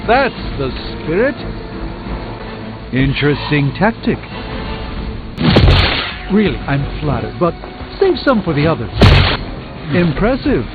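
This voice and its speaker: An actor playing a proud, learned middle-aged sorcerer superhero in a video game, with formal, pompous and erudite phrasing. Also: male voice, on the high side, sing-song, slow, pauses often, phone-quality sound, over loud music. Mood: approving, confident.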